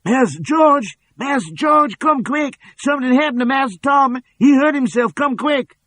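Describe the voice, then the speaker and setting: A daring young woman in a storybook reading, her voice lifted high and bright above the teller's own, as a man puts her on. She swings between sweet pleading and sharp urgency, always quick-witted. The tone underneath stays slightly husky. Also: animated, no room sound. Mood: alarmed. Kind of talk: audiobook character voice.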